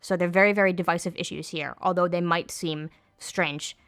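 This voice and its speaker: Irish woman explaining stuff w lots of ums, bit low pitched. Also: steady tone, normal speed, fairly bright tone. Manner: explanatory, with fillers and self-corrections. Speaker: interviewee. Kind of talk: documentary interview.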